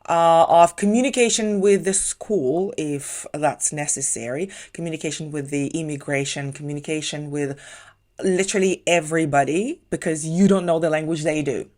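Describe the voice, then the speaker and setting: A woman from Ukraine speaks English with a Ukrainian accent in a low voice. She gives casual, chatty advice in a vlog and explains things step by step, with the odd "uh" and "um" along the way. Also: darkish tone. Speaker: English teacher vlogger.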